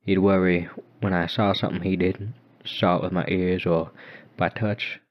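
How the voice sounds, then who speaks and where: A man's voice: low voice, little pitch movement, moderate pace. A man narrating a horror story dramatically in the first person. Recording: dull muffled lo-fi recording.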